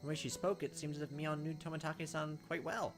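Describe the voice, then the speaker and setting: A man's voice: on the high side, fairly even intonation, fast talker, over music. A young man reading a visual novel aloud as a let's player, with casual, wry commentary.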